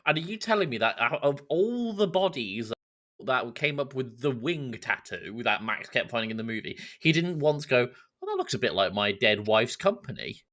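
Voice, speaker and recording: A man's voice: mid-range voice, big pitch swings, moderate pace, fairly bright tone. A British man chatting as a podcast host, scornful and sweary, acting out mocking quotes. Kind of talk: casual chat.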